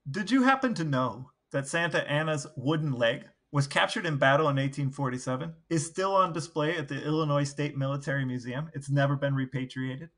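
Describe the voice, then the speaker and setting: Male podcast co-host, higher-pitched and bright, relaying wry anecdotes in casual conversation. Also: brisk, few pauses. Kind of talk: casual storytelling chat.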